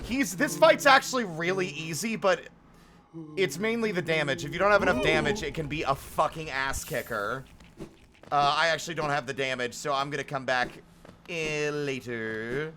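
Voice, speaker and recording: A man doing casual, animated live game commentary, reacting freely and swearing as he talks to viewers. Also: on the high side, normal speed, noisy background. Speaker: game streamer.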